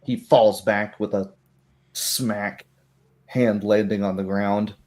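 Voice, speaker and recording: A man narrating a tabletop roleplay game as game master, telling it in a measured, descriptive way. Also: lowish voice, level tone, darkish tone.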